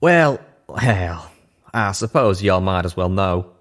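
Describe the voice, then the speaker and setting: A man's voice: deep voice, animated, takes it slowly, fairly bright tone, heavily acted. A man voicing a folksy male pegasus pony tinkerer. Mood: reluctant, rueful. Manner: folksy drawling speech with country slang.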